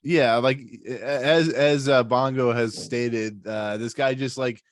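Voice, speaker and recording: A man's voice: medium pitch, steady tone, slow pace, no echo. A male podcast host with a middling voice, recorded dry and close. He rambles through his points, leaning on "like" and "I mean." His talk is loose and conversational. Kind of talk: casual podcast episode discussion.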